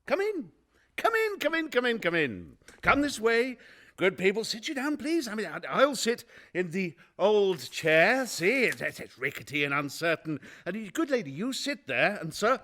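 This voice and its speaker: An actor playing a nervous, guilty murderer in a radio play, stammering and repeating himself in an over-eager, ingratiating way. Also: male voice, high-pitched, very animated, normal speed, bright, forceful tone, heavily acted. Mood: over-eager welcome. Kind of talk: theatrical radio play acting.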